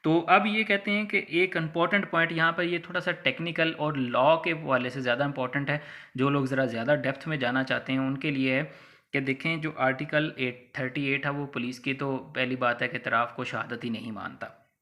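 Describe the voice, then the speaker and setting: Bright-voiced Pakistani man giving a lecture. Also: medium pitch, fairly even intonation, fairly quick. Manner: didactic, conversational, acts out hypothetical examples. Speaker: law lecturer. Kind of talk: explanatory lecture with English legal terms mixed in.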